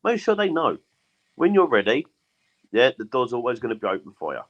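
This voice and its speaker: A man's voice: mid-range voice, sing-song, fairly quick, highs cut off. A man on a podcast giving earnest advice in casual chat, with lots of "yeah". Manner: earnest, advising, says "yeah" a lot. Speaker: podcast guest.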